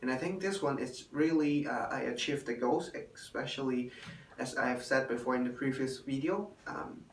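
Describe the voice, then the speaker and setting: A young man, a hotel intern and native Chinese speaker, giving a halting spoken evaluation in English with many fillers. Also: medium pitch. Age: young adult. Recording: roomy, distant, faint room noise.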